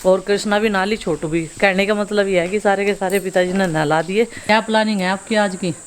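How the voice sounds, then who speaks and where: A woman's voice: medium pitch, fairly even intonation, brisk, slight background noise. A woman in her thirties chatting casually as she films daily life outdoors at her village home. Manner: chatty, explains as she goes, teasing with kids. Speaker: family vlogger.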